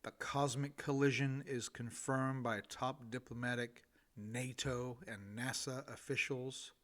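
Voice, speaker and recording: Guy reading his own audiobook, really slow, mid pitch, pauses for drama. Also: steady tone, fairly bright tone. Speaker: author reading own book. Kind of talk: audiobook narration of humorous essay.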